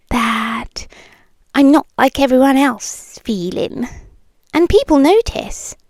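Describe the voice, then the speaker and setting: Breathy British woman acting a possessive yandere girlfriend. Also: sits high, no echo, full character voice. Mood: smitten. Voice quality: breathy, often whispering. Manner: slow, soft, intimate and coaxing. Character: possessive, doting yandere girlfriend soothing her partner. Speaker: ASMR roleplay performer. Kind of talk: intimate ASMR roleplay. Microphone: close microphone, dry.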